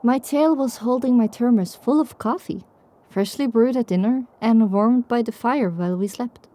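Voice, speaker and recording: A woman's voice: fairly high pitch, moderate pace, dark tone, lightly acted. A woman playing a reflective pony survivor, narrating her own story in a dramatic audiobook style. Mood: hopeful. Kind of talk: dramatic first-person scripted narration.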